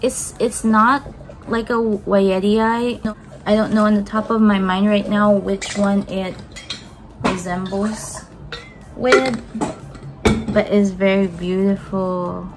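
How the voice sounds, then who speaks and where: A woman's voice: mid-range voice, steady tone, slow pace, long pauses, background noise. A young woman from the Philippines, chatting in a casual, upbeat way as she unboxes plants in her home kitchen, speaking to a camera on the table in front of her. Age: young adult. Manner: chatty and upbeat, gushing, talks while handling plants. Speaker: plant vlogger.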